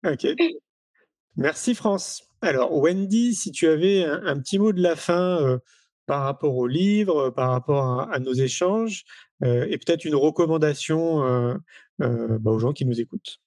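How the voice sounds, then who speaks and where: A man's voice: a little high, unhurried, darkish tone, no room sound. Man hosting a casual, dry-sounding interview. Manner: informal, asks probing follow-up questions. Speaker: podcast host interviewing readers.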